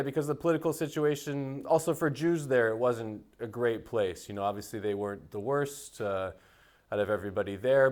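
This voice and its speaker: A man raised in the United States answers interview questions in a thoughtful, unhurried way, with many fillers and restarts. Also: fairly low pitch. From United States (Seattle). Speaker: interviewee, musician.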